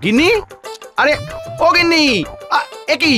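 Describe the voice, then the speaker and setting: A man's voice: very high-pitched, expressive, a little slow, bright, forceful tone, soft background music, heavily acted. A man voicing a scheming, spiteful royal minister in a folktale cartoon, haughty and conniving. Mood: exasperated.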